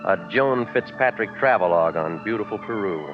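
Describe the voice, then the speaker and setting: An actor playing a wisecracking, world-weary private investigator in a 1940s radio drama, terse and sardonic. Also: male voice, fairly low pitch, expressive, fairly quick, close to an everyday voice. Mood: wry narrating. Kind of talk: 1940s radio detective drama narration and dialogue. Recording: dull 1940s radio recording, loud music.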